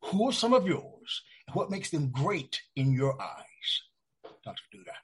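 A male radio host asks his guest questions in a slow, deliberate way. His pitch is a little high and swings widely from word to word. His voice has a husky, breathy edge. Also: sing-song. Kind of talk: interviewer.

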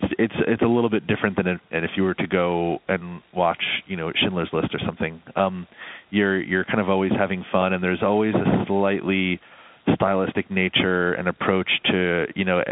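A low-pitched man comes through a muffled, phone-like line. He talks casually as an interview guest, explaining things in a loose, meandering way with plenty of "you know". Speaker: film and game composer, interview guest.

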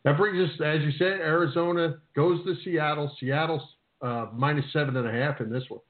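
A man's voice: fairly high pitch, fairly even intonation, normal speed. An American man hosting a casual sports talk show, conversational and a bit rambling as he repeats words. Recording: roomy, phone-like narrowband radio line.

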